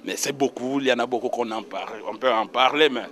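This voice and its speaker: A man's voice: mid-range voice, fast talker, fairly bright tone. A man with a Congolese accent, a church minister recounting his calling in a religious interview. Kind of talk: personal testimony in a religious interview.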